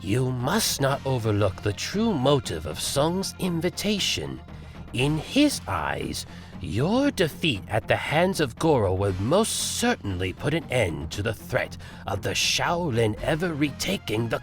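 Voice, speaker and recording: A man's voice: medium pitch, wildly swooping pitch, measured pace, faint music behind. A man playing a solemn old Shaolin master, grave and formal, in a comic dub.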